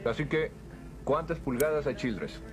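A man dubbing a film role as an escaped male convict, talking softly and patiently to a boy like a father. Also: on the high side, moderate pace, music in the background, close to an everyday voice. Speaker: dubbing actor. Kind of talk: film dub acting.

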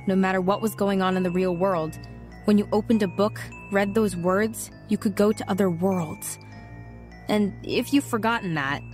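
A woman's voice: on the low side, close to an everyday voice. A woman voicing a wayward teenage girl who narrates and talks to an old man, hesitant and apologetic in scenes, in an audio drama.

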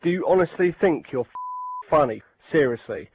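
Raging gamer, foul-mouthed insult tirade, slightly high voice, dull lo-fi audio. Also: male voice, expressive, normal speed, slight background noise. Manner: insulting, swearing, aggressive. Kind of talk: profane angry rant.

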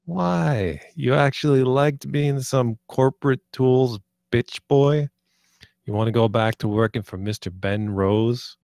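A man's voice: medium pitch, slow pace, gravelly, a little mellow, no echo, studio quality. A man reading the part of a cocky, menacing, sarcastic young drug dealer in a screenplay table read. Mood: taunting. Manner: sarcastic, foul-mouthed, taunting.

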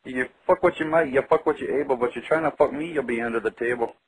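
A man's voice: medium pitch, quick pace. A foul-mouthed man making a crude prank phone call.

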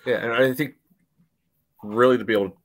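A man in a casual sports breakdown on a video call, explaining plays in a measured way with frequent "you know". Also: mid-range voice, fairly even intonation. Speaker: podcast panelist. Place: indoor room, remote video call. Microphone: video call microphone.

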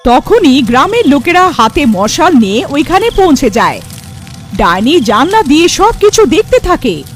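Woman narrator, high pitch, animated intonation, scripted horror cartoon storytelling, prominent music. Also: moderate pace.

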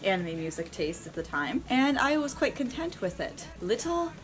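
A young woman vlog host telling a personal story casually and unscripted, with theatrical emphasis and dramatic pauses. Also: mid-range voice, sing-song. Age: young adult. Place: small indoor room lined with shelves.

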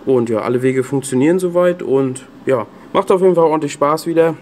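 A man's voice: a little high, fairly quick, a little mellow. A man giving casual, off-the-cuff vlog commentary as a sound technician, in informal colloquial speech. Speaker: video host and PA sound technician. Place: indoor venue rooms and cellar. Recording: background hum and room noise.

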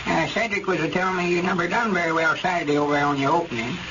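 An actor playing a plain-spoken, grumbling old country storekeeper in rural dialect, in 1930s radio comedy style. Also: male voice, fairly high pitch, fast talker, natural voice. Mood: chatty. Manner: folksy rural dialect, slightly stammering. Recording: muffled, noisy old radio recording.

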